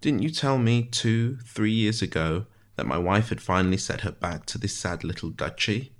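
A wry male prisoner from a dark storybook tale, acted out by a male reader. His low voice is dry and precise, with florid, theatrical turns of phrase. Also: normal speed, close to an everyday voice. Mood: wry, mocking.

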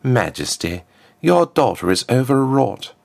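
A man's voice: mid-range voice, very animated, a little slow, pitched above the performer's own voice. A haughty woman ambassador, acted out by a male reader, lifted well above his natural register. The delivery is formal and smug, swooping with silky menace in storybook villain fashion. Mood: dismissive. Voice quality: smooth, lifted contralto.

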